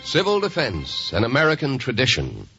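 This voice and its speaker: A man's voice: mid-range voice, huge pitch swings, normal speed, loud music behind the voice. A man reading a scripted public service announcement in 1950s radio style. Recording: muffled vintage radio recording.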